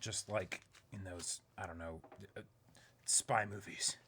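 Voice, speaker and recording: A man's voice: low voice, fairly even intonation, slow pace, sharp tone, a little hiss or hum. A young man co-hosting, reading aloud casually and breaking into easygoing, chatty asides. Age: young adult. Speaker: co-host of an unboxing show. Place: small indoor room.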